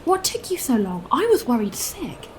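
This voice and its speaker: A woman playing an earnest, outspoken spaceship captain in a science-fiction audio drama, with an expressive delivery. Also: fairly high pitch, moderate pace, slight background noise. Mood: warm, teasing.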